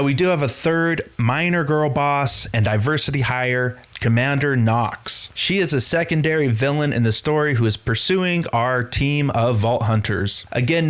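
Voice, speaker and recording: A man giving scripted video essay commentary on a film in a sardonic, dismissive way. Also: mid-range voice. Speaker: film reviewer.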